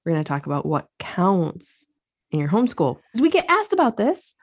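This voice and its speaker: A woman's voice: on the low side, wildly swooping pitch, fairly quick, breathy edge. A woman co-hosting a podcast, chatting casually with her sister, with lots of fillers and easy laughter. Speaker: podcast co-host. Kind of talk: casual chat.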